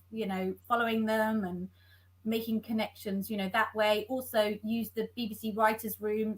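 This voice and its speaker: A woman speaks as a guest on a writers' panel, giving earnest advice in a plain, middle-pitched voice. She repeats words as she works out what to say. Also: fairly bright tone, poor audio. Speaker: scriptwriter guest. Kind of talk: panel discussion.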